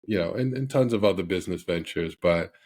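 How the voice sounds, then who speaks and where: A man's voice: sits low, a little fast, darkish tone. A middle-aged man from Barbados, a company head as podcast guest, talking casually with many fillers and restarts. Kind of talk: casual interview.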